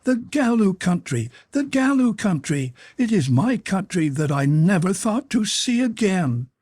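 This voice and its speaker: A man's voice: sits high, sing-song, a little slow, darkish tone, no echo, studio quality, pitched above the performer's own voice, exaggerated voice. A man voicing an ardent, tender young native woman in an audiobook, speaking in an exclamatory, pleading way. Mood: overjoyed. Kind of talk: audiobook character voice.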